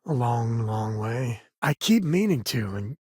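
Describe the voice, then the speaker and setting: A man's voice: medium pitch, big pitch swings, unhurried, a little mellow, slightly rough, dry recording, clean studio sound. An actor playing an easygoing, wry space-frontier ranger and protective father in a video game, with folksy, informal speech. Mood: reflective.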